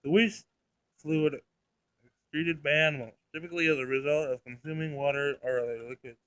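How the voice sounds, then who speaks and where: A man's voice: a little high, steady tone, fairly quick, fairly bright tone, smooth, dry recording, lightly acted, low-quality recording. Man voicing a female android, visual novel game, clinical, pedantic, blunt, dry close sound. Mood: matter-of-fact. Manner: pedantic, clinical, formal wording. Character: literal-minded, formal female android, blunt and emotionless.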